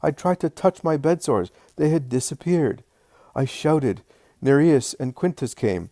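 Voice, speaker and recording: A man's voice: a little high, expressive, measured pace, mellow, dry recording, voice pushed up. Man reading as a grateful old slave. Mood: overjoyed. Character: old male slave, newly healed, grateful.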